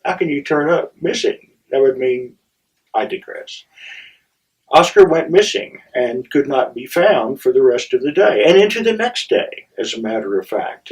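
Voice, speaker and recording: A middle-aged or older man telling a personal story in a theatrical, wry way, with dramatic pauses and halting asides. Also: mid-range voice, lo-fi sound. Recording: distant microphone.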